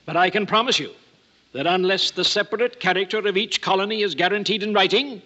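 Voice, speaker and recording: A man's voice: high-pitched, a little fast, bright, forceful tone. An actor playing a brusque, argumentative colonial statesman in an old-time radio drama, formal and insistent. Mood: stubborn. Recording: muffled old radio recording.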